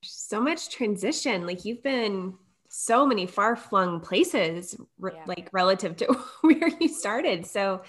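A woman's voice: mid-range voice, expressive, takes it slowly. A woman co-hosting a casual interview, reacting to her guest with reflective, enthusiastic comments. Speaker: co-host.

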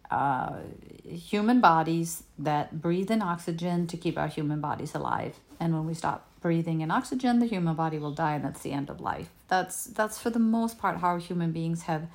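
A woman whose first language is Danish, giving a gentle, unhurried talk as a healing teacher, often repeating words as she searches for them. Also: sits low, animated, vocal fry. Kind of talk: reflective spoken talk.

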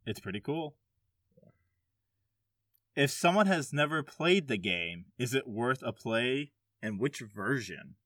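Male podcast host, mid-pitched, dry sound, jokey and informal with some swearing. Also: animated, takes it slowly, pauses often, fairly bright tone, no room sound. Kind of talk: casual chat.

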